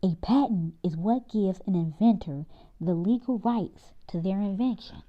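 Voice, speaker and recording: A woman's voice: mid-range voice, unhurried, lightly acted. Knowledgeable, patient storybook father, read by a woman, explanatory delivery, lo-fi recording. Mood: patient, explaining.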